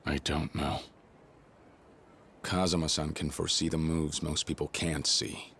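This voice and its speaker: An actor playing a loyal, stubborn young fighter in a video game cutscene, speaking bluntly and earnestly. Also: male voice, very deep voice, level tone, a little slow, vocal fry, sharp tone, a little hiss or hum, exaggerated voice. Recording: game audio with music behind.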